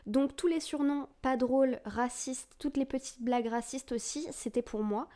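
Woman in her twenties, from France, podcast host, confessional storytelling, mellow, somewhat high voice. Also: normal speed. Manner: candid, confessional, casual with fillers. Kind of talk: personal storytelling monologue.

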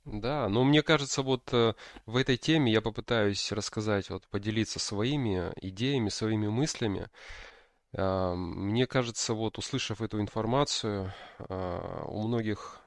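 Low, creaky-voiced man chatting about tech. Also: normal speed, no echo, clean studio sound. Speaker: podcast co-host explaining backend development. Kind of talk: casual explanatory tech discussion.

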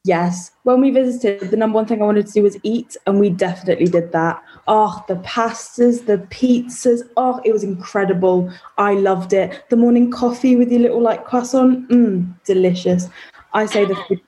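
A woman's voice: medium pitch, moderate pace, warm tone, rough recording. A young woman guest in a casual chat, enthusiastic and self-deprecating.

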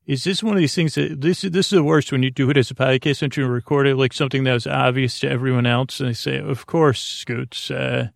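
A man hosting a bedtime podcast in a creaky voice, meandering and halting, often stammering and repeating himself in a soothing, friendly way. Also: mid-range voice, steady tone, dry recording, clean studio sound. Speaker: podcast host. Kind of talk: rambling bedtime monologue.

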